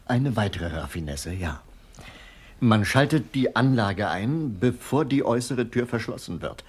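A man playing a matter-of-fact gang insider, dry, measured and precise, in a German radio crime comedy. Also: lowish voice, expressive, darkish tone, husky. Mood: dry, factual.

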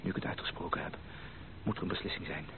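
An actor playing an ardent, determined gentleman suitor, emphatic and romantic, in a Dutch radio play. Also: quick pace, natural voice. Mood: fervent, intimate. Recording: narrow, noisy old radio play recording.

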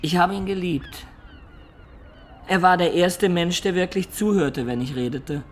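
An imaginative storyteller of peasant birth, recalling his life in a radio drama. His slightly high man's voice is reflective and expressive, with noticeable ambient noise behind it. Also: a little slow. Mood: fond, admiring. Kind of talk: German radio play, reminiscing narration.

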